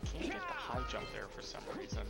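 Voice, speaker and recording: A man's voice: high voice, huge pitch swings, a little fast, gravelly. A man talking in an offhand, casual way while playing a video game, thinking aloud. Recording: loud game music behind. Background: video game music and game audio.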